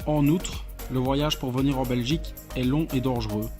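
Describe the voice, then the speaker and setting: A man's voice: medium pitch, steady tone, moderate pace. A young adult man reading scripted narration as a student narrator. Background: music.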